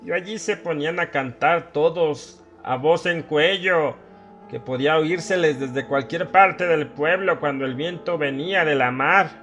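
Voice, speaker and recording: A man's voice: a little high, measured pace, a bit bright, lo-fi sound, soft background music. A man playing a drunken, half-crazed old villager, sly and ranting, in a dramatized horror audiobook, with a rambling and insistent manner. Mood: mocking, manic. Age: older. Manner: cackling, rambling, insistent questioning.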